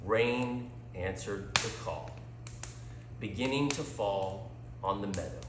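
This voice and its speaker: A man reading a scripted story as a storyteller, with a dramatic, deliberate delivery. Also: lowish voice, heavy background noise. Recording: echoey room.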